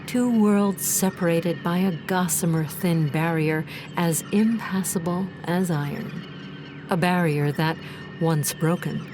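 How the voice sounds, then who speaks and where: A woman narrates an audio drama in a scripted, dramatic style. Her voice is fairly low and very unhurried, set over noticeable background ambience. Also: noisy background.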